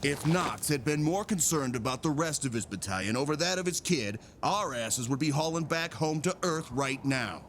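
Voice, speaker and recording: A man playing a resentful, griping army sergeant and homesick father in an animated sci-fi drama. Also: a little high, moderate pace, few pauses, slight background noise. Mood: bitter. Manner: bitter, griping.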